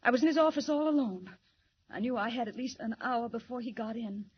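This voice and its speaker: A woman's voice: on the high side, a little fast, husky. A woman playing a frightened but defiant young secretary in an old 1940s radio drama, speaking with emphatic, breathless urgency. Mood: anxious, urgent. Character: frightened, defiant young secretary in hospital, telling her story. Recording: dull muffled 1940s radio recording.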